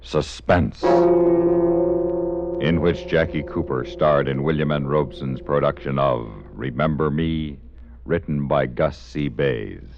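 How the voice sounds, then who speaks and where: A man announcing a 1950s radio drama series in a scripted style. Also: extremely low pitch. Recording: muffled old radio recording.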